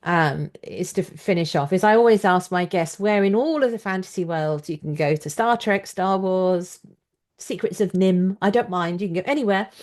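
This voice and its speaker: A woman's voice: lowish voice, moderate pace, darkish tone. A woman hosting a conversational interview in a chatty, informal way. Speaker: podcast host and interviewer.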